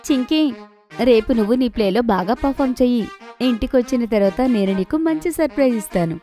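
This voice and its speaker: A woman voicing a kind, proud mother in a children's cartoon, gentle and encouraging. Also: fairly high pitch, animated, fast, faint music behind, lightly acted. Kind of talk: animated children's story dubbing.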